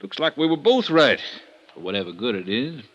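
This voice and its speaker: An actor playing a middle-aged veteran police detective with an American accent, dry and folksy in 1950s radio drama style. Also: male voice, mid-range voice, very animated, a little fast, a bit bright, dull audio. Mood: dry, wry. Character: gruff, wry, baffled veteran male police detective.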